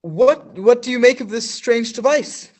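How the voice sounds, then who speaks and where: A man's voice: extremely high pitch, measured pace, a little mellow, slight background noise. A man playing a flustered news reporter in a stage radio play, stammering through formal newsreader phrasing.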